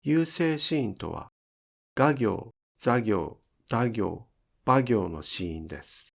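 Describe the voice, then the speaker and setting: A man's voice: fairly low pitch, animated, takes it slowly, creaky. A man giving scripted instructional narration as a pronunciation teacher, enunciating carefully step by step.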